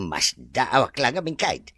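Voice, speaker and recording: A man acts out a humble middle-aged male driver from an Ilocano audio drama. He has a bright but husky voice with a Filipino accent, stammers through interjections and swings his pitch about, servile yet teasing. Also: wildly swooping pitch, slow, dry recording, studio quality, heavily acted. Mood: sheepish, deferential. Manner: stammering, giggly, full of interjections. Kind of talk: Ilocano radio-style drama acting.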